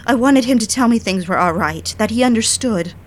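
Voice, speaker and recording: A woman's voice: on the high side, expressive, fairly quick. A woman acting an audio drama as a defiant, indignant independent woman, with an emotional, emphatic delivery. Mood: wounded, reflective.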